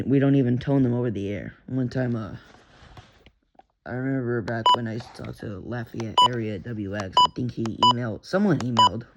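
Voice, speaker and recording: Gravelly Texan guy rambling about radios. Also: mid-range voice. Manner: rambling, profane, self-interrupting. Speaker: radio hobbyist. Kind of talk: rambling casual vlog. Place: bedroom at home. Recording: lo-fi noisy home recording.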